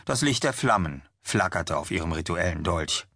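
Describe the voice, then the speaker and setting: A man with a very low voice narrates a fantasy audiobook. The recording is dry and close, with no room around the words. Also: lively intonation, normal speed, creaky, pushed and bright, dry recording.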